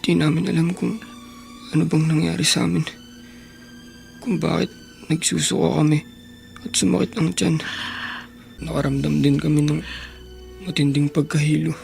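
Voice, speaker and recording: Gravelly, plaintive Filipino teenage boy. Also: mid-range voice, flat delivery, darkish tone. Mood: weak, confused. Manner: plaintive, halting, emotional. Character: destitute, remorseful, stubborn teenage son. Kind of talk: Filipino radio drama acting. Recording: background music under the voice.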